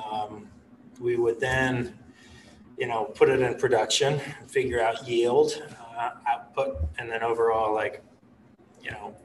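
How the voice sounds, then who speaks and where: A man in his thirties, an executive chef, speaking in a relaxed, rambling way on a video call from his living room. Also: medium pitch, distant mic, a little hiss or hum, low-quality recording. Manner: relaxed, rambling, many "you know" and "like" fillers. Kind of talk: casual interview over video call. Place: living room with a stone fireplace.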